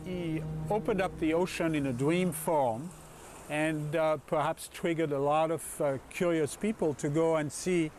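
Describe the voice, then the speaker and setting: A man speaking thoughtfully with pauses in a documentary interview. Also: on the high side, fairly even intonation, darkish tone, faint music behind.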